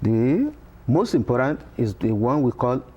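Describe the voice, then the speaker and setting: A middle-aged Nigerian man, a Yoruba-speaking professor, explaining patiently in a TV interview with frequent pauses. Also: medium pitch, big pitch swings, husky, highs cut off. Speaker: professor, guest. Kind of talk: interview conversation. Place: television studio.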